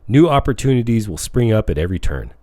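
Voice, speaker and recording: A man with a low-pitched voice reads a self-improvement book aloud. He moves through it phrase by phrase with measured emphasis. The sound is dry and close, with very little room on it. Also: sing-song, warm tone, no room sound. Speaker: audiobook reader. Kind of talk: audiobook narration of a self-help book.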